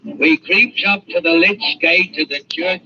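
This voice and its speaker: A brash grave robber. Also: male voice, on the high side, a little slow, sharp tone, full character voice. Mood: gruff. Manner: brash, gruff. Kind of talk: 1940s radio acting. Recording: muffled old radio recording with hiss.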